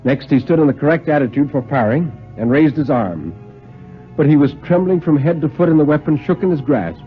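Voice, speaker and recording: A man giving a theatrical, deliberate dramatic story reading with precise diction, in 1950s radio style. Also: fairly high pitch, expressive. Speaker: dramatic reader on a radio show. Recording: muffled vintage radio recording, music.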